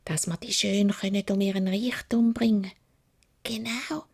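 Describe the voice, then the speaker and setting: A woman's voice: medium pitch, steady tone, a little slow, darkish tone, slightly rough. A woman voicing envious, scheming sisters in a Swiss German fairy tale, conspiratorial and half-whispered. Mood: sly whispering. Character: two envious scheming older sisters, sly and gleeful.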